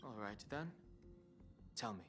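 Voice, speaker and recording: A man voicing a serious, guarded young space traveller in a video game, speaking in a measured, formal, skeptical way. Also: fairly low pitch, animated, music in the background. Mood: wary. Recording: noticeable background ambience noise.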